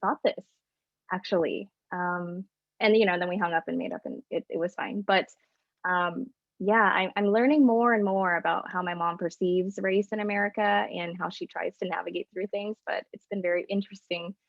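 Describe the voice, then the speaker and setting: A woman's voice: on the low side, fairly even intonation, normal speed, gravelly, dry recording, dull audio. A woman panelist speaking in an earnest, casual storytelling way with frequent fillers, over a video call.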